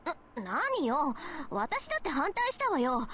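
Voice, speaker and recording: A woman's voice: high-pitched, huge pitch swings, brisk, fairly bright tone, heavily acted. An actress playing a proud, blunt teenage girl mage in a Japanese anime visual novel, scolding and assertive. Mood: defensive.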